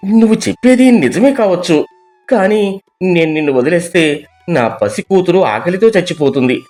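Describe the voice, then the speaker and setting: A man playing a poor, kind-hearted fisherman and father, speaking with earnest emotion in an animated moral story. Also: sits high, moderate pace, faint music behind. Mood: torn, apologetic.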